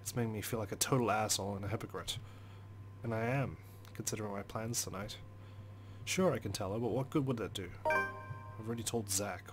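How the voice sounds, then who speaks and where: Low-pitched man narrating a visual novel. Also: brisk, music in the background. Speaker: let's play host. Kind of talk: dramatic first-person narration of a visual novel.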